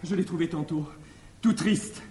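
An actor playing a crafty, wily manservant in a classical French stage farce, with theatrical, halting mock distress. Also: male voice, on the high side, lightly acted. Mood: drawn-out storytelling. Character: crafty, wily manservant feigning breathless alarm. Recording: echoey 1960s theatre recording, faint hiss.

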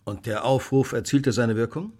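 A man playing a cool, eccentric master detective in a German audio drama, brisk, unruffled and incisive. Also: mid-range voice, a little mellow, natural voice. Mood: probing.

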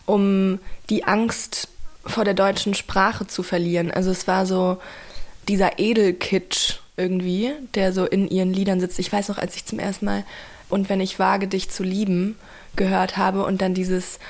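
A young woman of around twenty talks in a loose, gushing way, circling her thoughts with plenty of fillers. Her pitch sits mid-range and barely moves, so the delivery stays level and even. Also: normal speed. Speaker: interviewee, young fan. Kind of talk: casual interview talk.

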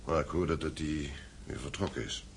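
Deep-voiced, formal gentleman father. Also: normal speed. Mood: polite, concerned. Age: middle-aged. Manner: formal, polite. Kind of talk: radio drama acting. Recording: old radio play, faint noise.

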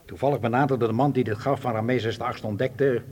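An actor playing a cold, ruthless spy boss in a radio drama, with a commanding, menacing, deliberate delivery. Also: male voice, medium pitch, fairly even intonation, a little mellow, lightly acted. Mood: cold, controlled. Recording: hall-like long reverb.

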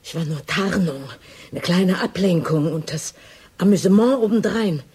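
A low-pitched female voice in radio drama. a police detective who is emotionally wounded yet resolute. Her delivery is intense and naturalistic. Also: animated, moderate pace, darkish tone, husky. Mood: agitated, hurt. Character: distraught woman police detective, hurt but resolute lover.

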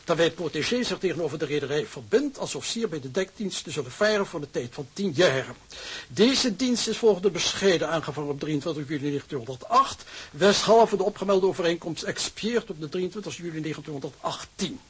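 An actor in a radio drama playing a fussy, pedantic bookkeeping clerk with formal, bureaucratic and slightly hesitant speech. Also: male voice, on the high side, animated, quick pace, breathy edge. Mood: droning formality. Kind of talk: Dutch radio drama acting.

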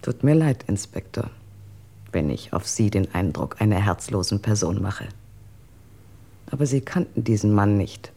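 Proud bitter wife, radio drama, very low mellow voice, gravelly creak, cutting and articulate. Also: female voice, expressive, measured pace, pauses often, full character voice. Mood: cold, defensive. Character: bitter, cold, wheelchair-bound wife, proud and sardonic. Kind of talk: German radio drama acting.

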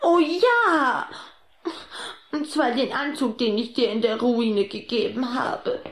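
Süßes, hohes Vampirmädchen, hallig. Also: female voice, fairly high pitch, animated, slow pace, roomy sound. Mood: insistent, reproachful. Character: little vampire girl, sweet, coy and insistent. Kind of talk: children's radio play acting.

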